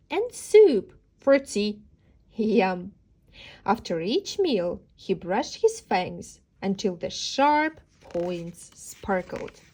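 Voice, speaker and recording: A woman's voice: medium pitch, darkish tone, husky. A woman narrating a children's storybook as a teacher would, expressively, with dramatic emphasis on key words. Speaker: teacher reading aloud.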